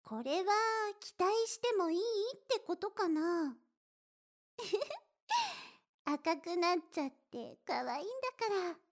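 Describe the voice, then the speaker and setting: A very high, girlish young woman played by a voice actress in the role of a doting older sister. She talks slowly and tenderly, straight to the listener, with a playful, coaxing lilt. It is intimate Japanese situation-drama acting, affectionate and a little teasing. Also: full character voice. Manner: gentle, coaxing, playful, addressing listener softly.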